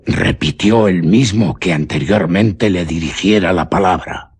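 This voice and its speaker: A man narrating a suspense radio story in a solemn, deliberate manner. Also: low voice, slightly rough.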